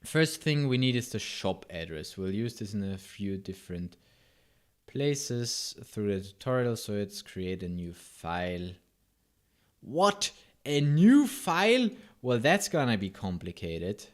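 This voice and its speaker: A man's voice: on the low side, big pitch swings, takes it slowly. Chatty man with a German accent. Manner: rambling, self-interrupting, answers chat with asides. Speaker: live coding streamer. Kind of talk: casual live coding stream, reading chat.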